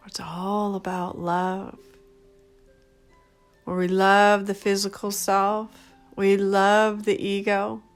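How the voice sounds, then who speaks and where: Woman doing guided meditation, really slow n gentle, music playing behind her. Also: mid-range voice. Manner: gentle, soothing, unhurried with short phrases. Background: background music.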